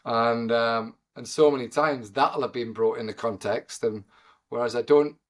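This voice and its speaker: A man in his forties with a Geordie accent, a podcast guest who rambles with lots of pauses and "you know". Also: mid-range voice. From North East England. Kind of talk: casual podcast conversation.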